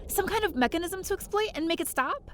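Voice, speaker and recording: Audio drama lead: quick-witted young-sounding heroine played by a woman, high and animated, narrating and acting dramatically. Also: slight background noise. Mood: tense narration. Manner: expressive, dramatic. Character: plucky, quick-thinking woman space adventurer telling her story.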